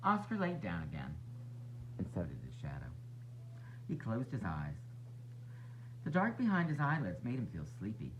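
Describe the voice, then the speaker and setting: A man narrating a children's storybook as a teacher would, expressive and instructive for young listeners. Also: lowish voice, normal speed, pauses often, muffled recording, noisy background. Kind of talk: children's read-aloud narration.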